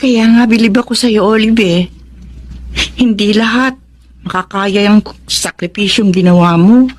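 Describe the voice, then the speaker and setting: An actress playing a sassy, loyal young best friend in a Tagalog radio drama, breezy and teasing, with a Filipino accent. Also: female voice, mid-range voice, a little slow, a little hiss or hum, natural voice. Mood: admiring.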